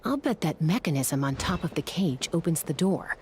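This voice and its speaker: Low-voiced, composed game adventurer. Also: female voice, expressive, darkish tone, slight background noise. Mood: observant, serious. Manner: composed, matter-of-fact, brisk. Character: cool, businesslike female investigator and adventurer. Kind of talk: video game voice acting. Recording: game music playing behind.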